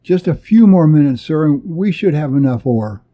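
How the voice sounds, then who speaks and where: A man's voice: fairly high pitch, lively intonation, normal speed, dull audio, close to an everyday voice. Man acting an anxious, hesitant space crewman. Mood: dutiful. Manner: hesitant, stammering.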